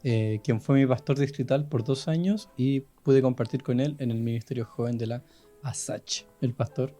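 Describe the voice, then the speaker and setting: A soft, dark-toned man with a Chilean accent speaks as a podcast co-host. His pitch is average, and he reads messages from listeners in a casual way, with quiet music underneath. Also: normal speed. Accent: Chilean Spanish. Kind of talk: casual chat, reading listener comments.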